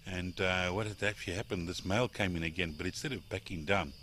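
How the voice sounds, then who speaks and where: A South African safari guide with a very low voice spins a rambling yarn, chuckling and restarting his sentences. He sits outdoors by a campfire at night. Crickets and steady outdoor noise fill the background. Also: fast talker. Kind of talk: campfire storytelling. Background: crickets chirping.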